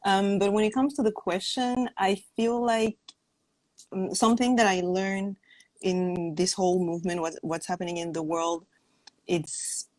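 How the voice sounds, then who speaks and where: A woman's voice: medium pitch, steady tone, a little mellow, no room sound, poor audio. A woman calling in to a discussion, giving a casual, reflective opinion and often searching for words. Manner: halting, searches for words, repeats phrases. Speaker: caller on a discussion show.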